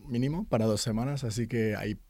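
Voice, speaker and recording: Halting middle-aged man, mellow voice. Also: mid-range voice, dry recording. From Germany. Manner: halting, many fillers and restarts. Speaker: interview guest, artist's son. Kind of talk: conversational interview.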